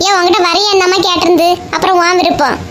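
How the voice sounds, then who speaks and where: Casting: woman playing bossy neighbourhood elder sister, very high voice, Kanyakumari Tamil accent, teasing, cartoon comedy. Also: fairly even intonation, fast, fairly bright tone. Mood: mild scolding. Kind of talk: comic cartoon dialogue.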